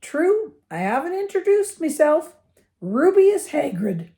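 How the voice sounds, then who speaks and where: A woman's voice: high-pitched, very animated, takes it slowly, dark tone, voice pushed up, exaggerated voice. A woman voicing a hearty, friendly giant man in a children's storybook, brash and folksy. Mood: jolly. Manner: boisterous, folksy, informal.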